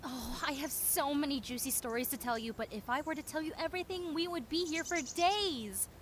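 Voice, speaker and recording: A woman playing a friendly, clumsy young female zombie in a roleplay audio drama, talking in a chatty, expressive, rambling way. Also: high-pitched, fairly quick, slight background noise. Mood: bubbly, gossipy. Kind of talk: roleplay audio drama, conversational monologue addressed to listener.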